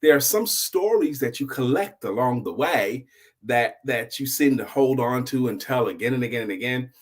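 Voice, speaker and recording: A middle-aged man telling a story as host, in a husky voice, emphatic and deliberate, with dramatic pauses and hushed drops. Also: medium pitch, lively intonation.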